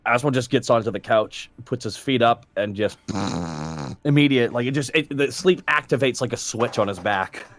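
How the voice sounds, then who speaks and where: A man's voice: mid-range voice, moderate pace. A man takes part in a tabletop game as a player. His voice sits in a middle register. He casually narrates his character's actions.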